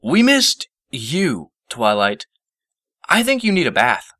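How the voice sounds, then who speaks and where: A friendly little male assistant creature from a storybook, performed by a man. The voice is lively and bright. He talks casually, with dry, wry teasing. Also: mid-range voice, slow pace, no room sound, voice pushed up, exaggerated voice. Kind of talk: storybook character voice.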